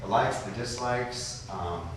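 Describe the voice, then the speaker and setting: A man with halting phrasing, informally opening a sharing circle as the group's facilitator. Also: fairly low pitch. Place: large indoor classroom with wooden floor. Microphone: camera mic from across the room. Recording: echoey room, distant camera mic, noisy.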